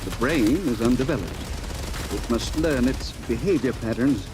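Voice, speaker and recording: A man's voice: medium pitch, normal speed, background noise, close to an everyday voice. Man as a stern old radio-drama professor. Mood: proud, earnest. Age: older. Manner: formal, precise, lecturing. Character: stern, proud, secretive old professor and inventor. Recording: old radio recording, sounds behind. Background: game gunfire and music.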